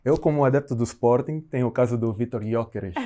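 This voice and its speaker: Relaxed man with a dark, mellow voice. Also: mid-range voice, brisk. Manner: affirming, frequent agreement, relaxed. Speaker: podcast host. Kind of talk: casual chat.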